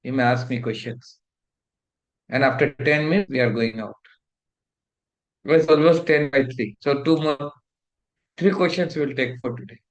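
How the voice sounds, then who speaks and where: A man's voice: medium pitch, moderate pace, pauses often, low-quality recording. A middle-aged man from India with an Indian English accent, lecturing a fellowship class in a preachy, scolding way and mixing in Hindi.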